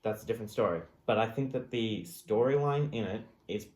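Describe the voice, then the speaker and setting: A man's voice: lowish voice, brisk, darkish tone. A man in casual group podcast conversation as one of the co-hosts, with an echoey, roomy sound. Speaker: podcast co-host.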